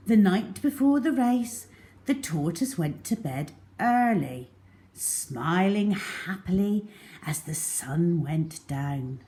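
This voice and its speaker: A woman narrating a storybook for young children in an expressive, theatrical, deliberate way, letting phrase endings drop to a hush. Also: lowish voice.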